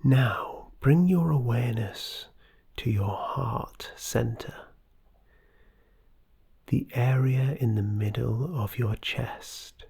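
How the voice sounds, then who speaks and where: Very slow, husky, breathy meditation guide. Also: mid-range voice. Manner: soft, soothing, breathy, often near whisper. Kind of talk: scripted guided meditation narration.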